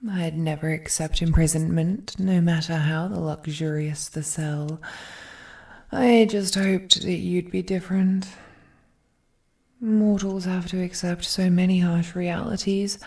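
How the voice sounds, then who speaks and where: An ancient, godlike villainess, played by a woman, speaks straight to the listener in a romantic audio drama. Her voice is dark and husky, and she takes her words very slowly. She is regal, possessive and wounded, brooding theatrically and slipping into whispered phrases. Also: fairly low pitch, full character voice. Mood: hurt, pleading.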